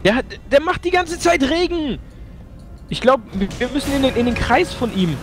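A young man with a high, very lively voice gets worked up as he plays a game, now muttering, now yelling and swearing. Game music plays clearly beneath him. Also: moderate pace. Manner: excitable, swings from mumbling to yelling, swears. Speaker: let's play host. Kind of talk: casual co-op gaming chat.

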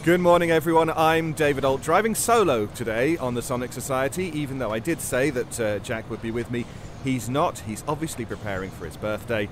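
A man's voice: mid-range voice, brisk. Male podcast host with car noise. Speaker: host of an audio drama showcase. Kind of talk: scripted podcast introduction. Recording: clear vehicle noise behind voice. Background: car and outdoor noise.

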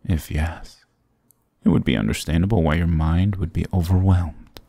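A man's voice: extremely low pitch, creaky, warm tone, husky, no room sound, exaggerated voice. A man voicing a sarcastic, dominant demon king in an ASMR roleplay, with a taunting, condescending, theatrical delivery. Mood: smug, teasing.

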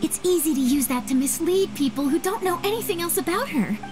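Earnest, high-voiced young reporter. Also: female voice, animated, a little fast, over music. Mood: concerned. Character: earnest, polite young female newspaper reporter. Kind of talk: video game voice acting.

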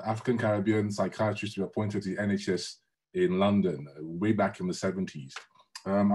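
A middle-aged man with a lowish voice, whose first language is Yoruba, introducing a panelist formally. He is measured and respectful and pauses often with "uh". The voice comes through a video call from a room at home. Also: darkish tone. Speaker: panel moderator.

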